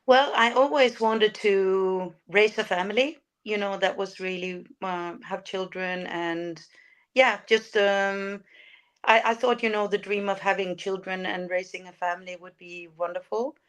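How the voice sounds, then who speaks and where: Older Danish woman, medium-pitched, hesitant. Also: steady tone, unhurried, clean tone. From Denmark. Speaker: podcast guest, lifestyle coach. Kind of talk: casual interview talk.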